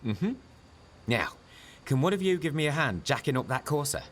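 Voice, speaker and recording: A man's voice: fairly high pitch, expressive, moderate pace, slight background noise, lightly acted. An actor playing a practical, teasing, matter-of-fact garage man in a radio soap.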